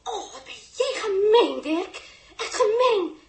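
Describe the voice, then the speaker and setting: Scornful young woman, very high lilting voice, teasing, 1960s radio play, roomy sound. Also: animated, slow pace, exaggerated voice. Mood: indignant. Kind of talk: 1960s Dutch radio drama acting.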